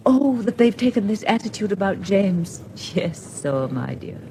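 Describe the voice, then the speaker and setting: A woman's voice: medium pitch, big pitch swings, normal speed, dark tone, a little hiss or hum. An actress playing a genteel, affectionate British woman in a radio drama, chatty and fond in manner. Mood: concerned, relieved. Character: genteel, affectionate Englishwoman, fussing over her companion. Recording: faint background ambience.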